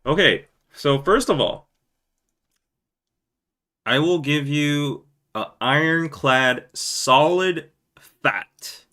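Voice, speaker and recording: A man with a Korean accent hosting a live podcast, talking casually in a rambling, animated way with loud emphatic bursts and quick laughs. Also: fairly high pitch. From South Korea. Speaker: podcast host. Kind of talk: casual live-stream chat.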